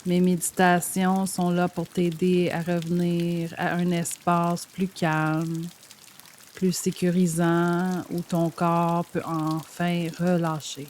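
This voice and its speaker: Slow, even-toned woman guiding meditation over rain. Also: on the low side, slight background noise. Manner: gentle, soothing, measured with pauses. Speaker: meditation guide. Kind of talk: guided yoga nidra meditation. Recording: steady rain sound over the voice. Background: rain sound.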